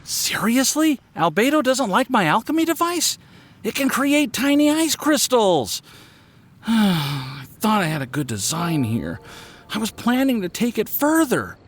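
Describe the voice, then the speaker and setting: A man voicing an earnest, self-doubting young alchemist in a video game, expressive and a bit fretful. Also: high voice, a little slow, a little hiss or hum. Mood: dismayed. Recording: music behind.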